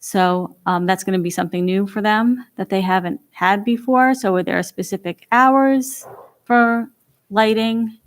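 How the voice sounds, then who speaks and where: A woman's voice: medium pitch, steady tone, unhurried. A woman on a town board asking questions at a public meeting in a hesitant, inquisitive way with many fillers.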